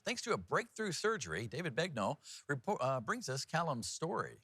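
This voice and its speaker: Male news anchor with animated, swooping delivery. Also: fairly high pitch, brisk, very noisy. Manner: joking, loose and informal. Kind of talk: TV news reading with casual banter. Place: TV news studio.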